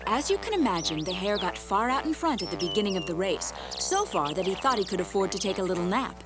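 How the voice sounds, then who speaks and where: A woman voicing a sensible, caring hedgehog wife and mother in a cartoon, chiding in a matter-of-fact, expressive way. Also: medium pitch, fast talker, close to an everyday voice. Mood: encouraging, storytelling. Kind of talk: animated cartoon voice acting. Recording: music behind the voice.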